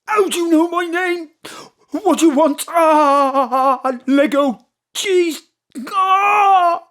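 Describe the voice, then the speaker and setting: A woman's voice: very high voice, slow, fairly bright tone, full character voice. A woman playing a sleazy, lecherous male thug who blusters, whines and stammers in an audio drama. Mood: pained, shocked. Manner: stammering, whining.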